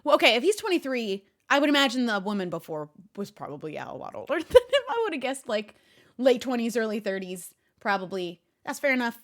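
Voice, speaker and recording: A woman streamer chats casually while reacting to a video, laughing easily and often. Her voice sits high and swoops up and down. The talk is loose, off-the-cuff commentary. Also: a little fast. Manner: giggly, animated, talks to a pet.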